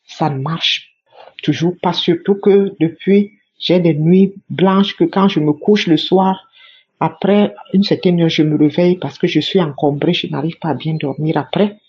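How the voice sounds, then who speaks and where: A woman's voice: lowish voice, a little slow, breathy edge. A woman giving a fervent spoken religious testimony, repeating her phrases for emphasis. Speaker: church member giving testimony. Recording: dull lo-fi recording.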